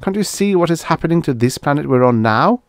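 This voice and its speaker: A man's voice: a little high, animated, brisk, no echo, clean studio sound, voice pushed up. A man playing an anxious space explorer in a science fiction audiobook, earnest and insistent as he pleads with urgency. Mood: desperate, alarmed.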